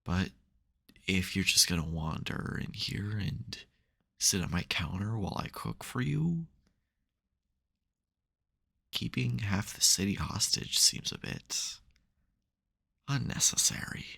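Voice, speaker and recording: A man performs a male supervillain for an intimate ASMR roleplay. His voice sits very low, with a heavy gravelly creak. He comes across as wry and exasperated, with a caring streak under the theatrics. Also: expressive, takes it slowly, pauses often, bright, forceful tone, exaggerated voice. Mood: reflective, tender.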